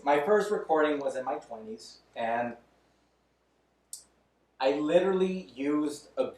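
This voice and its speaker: A man's voice: mid-range voice, a little mellow. A man in his thirties talking loosely and candidly as a podcast guest, chuckling between thoughts. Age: 30s. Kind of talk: casual interview chat. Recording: roomy echo, mic at a distance.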